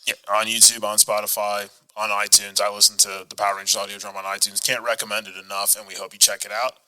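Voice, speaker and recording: A man's voice: on the low side, little pitch movement, fast talker, bright, forceful tone, low-quality recording. An American man in his thirties hosting a podcast in a casual, enthusiastic way. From United States. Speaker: podcast host.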